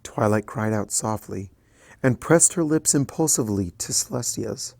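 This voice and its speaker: A man reading a story aloud softly and evenly. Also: lowish voice, lively intonation, normal speed, gravelly, no echo. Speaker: fanfiction reader.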